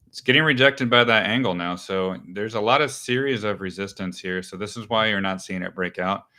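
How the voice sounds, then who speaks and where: A man hosting a live market show, talking casually through stock charts with a smooth voice and many fillers and restarts. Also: lowish voice, brisk, bright, forceful tone. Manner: loose, thinking aloud, many fillers and restarts.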